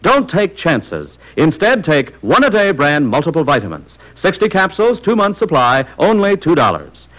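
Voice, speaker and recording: An American man reading an old-time radio commercial as announcer, in an emphatic, deliberate sales delivery. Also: on the high side, huge pitch swings, a bit bright, low-quality recording.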